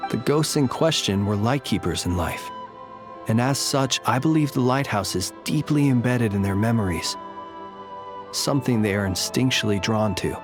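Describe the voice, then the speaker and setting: A man voicing a calm, courtly lightkeeper in a video game, speaking in formal, measured, old-fashioned phrases. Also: lowish voice, natural voice.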